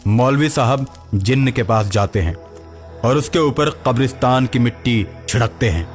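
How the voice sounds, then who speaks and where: A man's voice: medium pitch, normal speed. A man narrating a scripted cartoon story as the storyteller. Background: background music.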